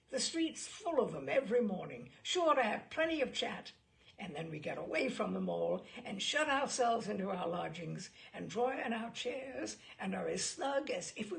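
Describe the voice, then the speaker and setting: A woman's voice: mid-range voice, sing-song, moderate pace, vocal fry. A woman voicing a bluff, hearty, chatty older male admiral in an audiobook, blustery and rambling with emphatic delivery. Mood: cheerful, cosy. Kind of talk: audiobook character dialogue.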